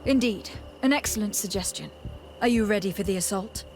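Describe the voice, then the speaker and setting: A woman's voice: mid-range voice, slight background noise, close to an everyday voice. Composed noblewoman giving orders, acted by a woman. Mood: composed, commanding. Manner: formal, measured, commanding. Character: noble female envoy, composed young leader giving orders. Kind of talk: scripted video game voice acting.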